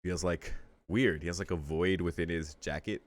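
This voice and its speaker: Low-voiced man, casual game commentary. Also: fairly even intonation, moderate pace. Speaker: streamer reacting to a game. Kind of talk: casual commentary while reading visual novel text aloud.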